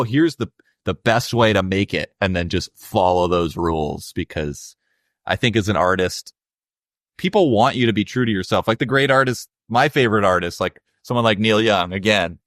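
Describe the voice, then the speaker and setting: A young adult man with a low voice, a musician guest talking casually and reflectively in an interview, with many fillers. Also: animated, normal speed, a bit bright, no room sound, clean studio sound.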